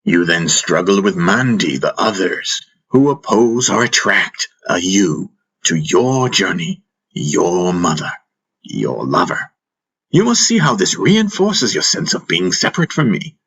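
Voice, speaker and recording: Guy doing a wise old-school guru in a fantasy audiobook, low husky voice, patient but teasing, keeps asking probing questions. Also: very animated, a little slow, fairly bright tone, exaggerated voice. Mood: teaching, chiding. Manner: instructive, rhetorical questioning with prompting hums. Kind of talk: audiobook character dialogue.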